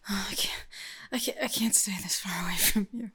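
A young woman speaking in an intimate audio roleplay, addressed to the listener, in a breathy, murmuring whisper. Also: medium pitch, a little fast, bright, forceful tone.

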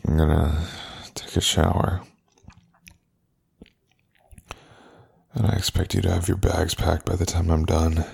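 A man playing a husky, whispering, cruel lover. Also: deep voice, takes it slowly, exaggerated voice. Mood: dismissive. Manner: mostly whispered, close and taunting. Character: cold, domineering, cruel male lover. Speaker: audio roleplay performer. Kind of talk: whispered adult audio roleplay.

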